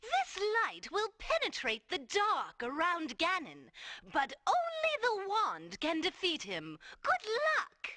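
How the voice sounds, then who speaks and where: A woman's voice: extremely high pitch, huge pitch swings, takes it slowly, bright, forceful tone, no echo, full character voice. An actress playing a serene, mystical female guide in a cartoon game cutscene. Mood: solemn, encouraging.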